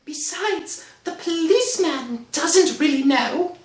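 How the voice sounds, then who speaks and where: A woman's voice: extremely high pitch, animated, slow, full character voice. A woman playing a nervous, insistent young wife in an old-time radio drama, pleading and evasive. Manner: pleading, brushing things off. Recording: echoey room sound.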